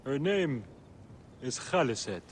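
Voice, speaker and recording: Casting: wheedling, mocking merchant, game cutscene; highish, animated voice, faint noise. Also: male voice, slow. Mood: sly, joking. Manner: wheedling, flippant. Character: sly, mocking market trader selling secrets. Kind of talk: video game cutscene acting.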